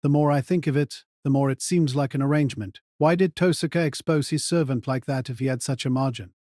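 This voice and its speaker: A man's voice: mid-range voice, brisk, dark tone, dry recording, studio quality, lightly acted. Kiritsugu, a terse, cold mercenary mage played by a man. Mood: cool, analytical. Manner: terse, clipped, controlled commands. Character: Kiritsugu, cold, calculating male mage mercenary giving orders. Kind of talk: audio drama acting.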